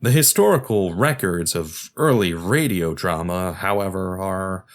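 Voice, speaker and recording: A man's voice: low voice, a little slow. A man hosting a podcast, reading scripted narration with wry, informal asides. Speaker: podcast host.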